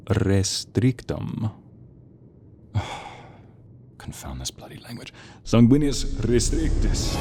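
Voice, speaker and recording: A man voicing a sadistic, theatrical sorcerer villain in an audio roleplay, silky and menacing, drawing out words and slipping into breathy whispers. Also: low-pitched, noisy background, full character voice. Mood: clinical, controlled. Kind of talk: audio roleplay acting addressed to the listener.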